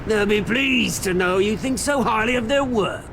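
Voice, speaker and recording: A man's voice: high voice, normal speed, fairly bright tone, a little hiss or hum. A man playing a cocky, theatrical crime boss villain in a video game, sarcastic and mocking. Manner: sarcastic, taunting. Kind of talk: dramatic video game acting.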